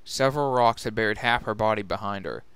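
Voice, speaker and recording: A man's voice: fairly low pitch, quick pace, fairly bright tone, clear, resonant tone, no room sound. A man narrating a scripted story as a dramatic reading. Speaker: narrator and reader.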